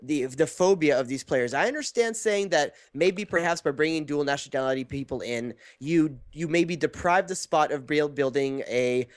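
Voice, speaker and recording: A man's voice: on the high side, moderate pace, hardly any pauses, dry recording. A young adult man co-hosting a podcast, mixing wry jokes with firm rebuttals, on a studio mic in a small room. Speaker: podcast co-host. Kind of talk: casual opinion chat on soccer. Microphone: studio mic on arm, headphones.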